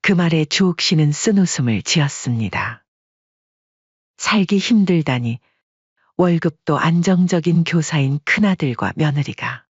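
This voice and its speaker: Male story narrator: husky, steady dramatic reading, close dry recording. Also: a little high, dry recording. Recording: dull lo-fi dry sound.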